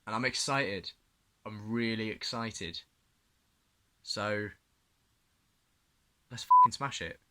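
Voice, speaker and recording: Excitable young man with a bright voice. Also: fairly low pitch, takes it slowly, long pauses. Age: young adult. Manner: excitable, earnest, repeats himself. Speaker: vlogger. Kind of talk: video diary to camera.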